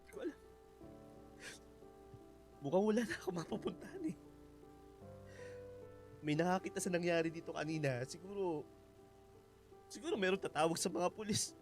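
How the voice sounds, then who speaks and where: An actor playing a guilt-ridden fugitive father in a Filipino radio drama, halting and emotional. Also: high-pitched, animated, soft background music. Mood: distraught. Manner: halting, repeats phrases, emotional.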